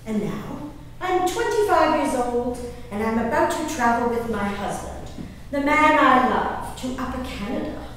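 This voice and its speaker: Actress as a theatrical high-voiced gentlewoman, echoey hall. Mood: gracious, confiding. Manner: dramatic, expressive, savoring words with emphatic pauses. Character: Elizabeth Simcoe, excitable, willful young English gentlewoman diarist. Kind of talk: theatrical dramatic monologue reading on stage. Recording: distant, echoey hall recording, faint noise.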